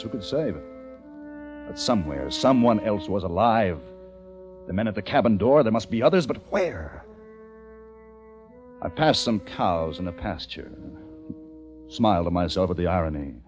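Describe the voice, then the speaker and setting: A man acting as a desperate, weary plague survivor, narrating an old-time radio drama in an American accent with theatrical, clipped phrasing. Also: lowish voice. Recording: vintage radio recording, dull sound, orchestral score. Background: dramatic orchestral music with brass.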